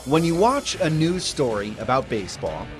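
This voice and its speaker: Lively male TV reporter voice-over. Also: mid-range voice, moderate pace, faint music behind. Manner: bright, lively reporter delivery. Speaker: TV news reporter. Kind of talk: scripted news narration.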